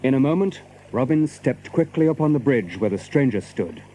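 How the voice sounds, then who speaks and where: A man narrating an adventure story from a script, in measured, literary, archaic English. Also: mid-range voice, lively intonation, warm tone, slight background noise, rough recording.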